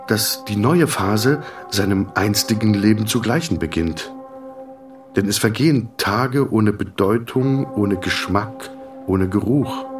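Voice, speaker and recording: A man narrating a radio drama in a sober, measured, detached manner, in short sentences. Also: on the low side, far from the mic. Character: sober, detached male storyteller of a hospital tale.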